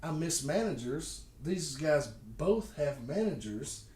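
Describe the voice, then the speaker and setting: A man's voice: on the high side, expressive, slow, darkish tone. A man co-hosting a casual wrestling podcast, recapping in a wry, opinionated way. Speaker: podcast co-host. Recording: echoey room, distant mic, faint noise.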